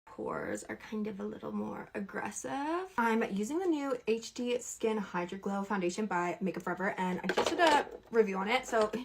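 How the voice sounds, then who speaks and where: A woman's voice: mid-range voice, normal speed, creaky, hardly any pauses. A Canadian woman chatting casually through a makeup tutorial, playful and slangy, with statements that rise like questions. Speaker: beauty content creator.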